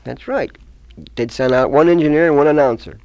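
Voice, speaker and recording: A man's voice: on the high side, brisk, darkish tone, clean tone, highs cut off. Matter-of-fact mature man, radio veteran. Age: middle-aged or older. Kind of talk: casual reminiscing conversation.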